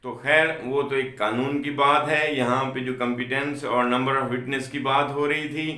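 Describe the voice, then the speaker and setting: A man's voice: mid-range voice, fairly even intonation, normal speed, pushed and bright. A man with a Pakistani accent giving an explanatory law lecture in a didactic way, stressing and repeating key points, with English legal terms mixed in. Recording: roomy, echoing sound.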